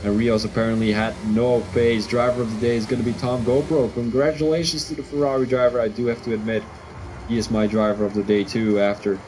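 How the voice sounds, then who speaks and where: A man from Belgium with a Belgian accent, a Dutch speaker commentating a sim race live, excitable and quick to second-guess his calls. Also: lowish voice, a little hiss or hum, rough recording.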